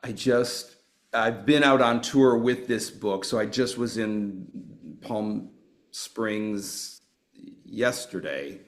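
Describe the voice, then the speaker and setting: A man's voice: on the low side, slow. A man introducing himself on a video call as a full-time speaker and author, rambling with frequent restarts.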